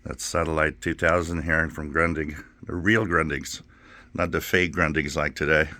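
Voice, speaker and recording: Deep-voiced man giving a casual tour. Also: fairly even intonation, normal speed, sharp tone, dry recording. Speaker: channel host filming a shop tour. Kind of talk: casual vlog narration. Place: inside a small electronics repair shop.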